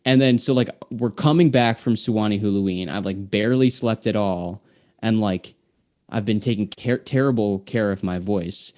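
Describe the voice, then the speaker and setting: A young man with a fairly low voice tells a long story in a podcast studio. He rambles, stacking filler words between loose, casual phrases. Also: normal speed, darkish tone. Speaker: guest singer-songwriter. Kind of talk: casual chat.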